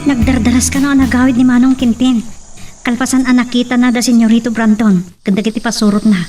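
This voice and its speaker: A woman's voice: a little high, flat delivery, quick pace, warm tone, far from the mic, soft background music, lightly acted. A woman playing an anxious but determined young daughter in an Ilocano drama, with a Filipino Ilocano accent. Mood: worried, urgent. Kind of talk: Ilocano radio-style drama acting.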